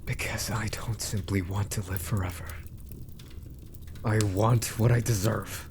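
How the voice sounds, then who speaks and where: A man's voice: fairly low pitch, fairly even intonation. Man acting an arrogant, scheming villain mage over background noise. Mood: bitter, megalomaniac. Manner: haughty, deliberate, trailing into hushed asides. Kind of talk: ASMR roleplay villain monologue.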